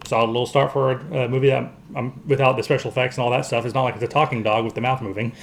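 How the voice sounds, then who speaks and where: A man's voice: medium pitch, fairly even intonation, racing, creaky. A man co-hosting a podcast, chatting casually through a round-up of entertainment news. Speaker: podcast co-host. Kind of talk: casual box office round-up chat.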